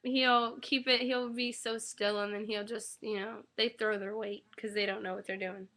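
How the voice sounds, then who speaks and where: A young woman recovering from an illness, her voice a little raspy, rambling casually about her newborn son with lots of ums. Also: mid-range voice, flat delivery, normal speed, pushed and bright. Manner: rambling, many ums, coos baby talk to her infant. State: getting over an illness. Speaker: new mother vlogger. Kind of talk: casual webcam update. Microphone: webcam mic.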